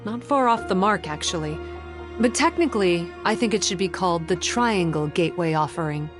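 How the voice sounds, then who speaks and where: An actress plays a young shrine maiden with a lowish female voice. She is solemn and guarded, speaking like a scholar in measured, formal phrases. Faint music runs behind the game dialogue. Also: lively intonation, close to an everyday voice. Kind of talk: video game character acting.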